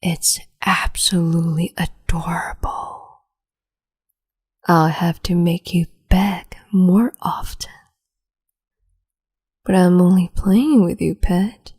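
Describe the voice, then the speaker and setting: A woman playing a cruel, capricious empress in an ASMR roleplay, whispering in a slow, teasing, domineering way. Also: lowish voice, steady tone, darkish tone, heavily acted. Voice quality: breathy whisper.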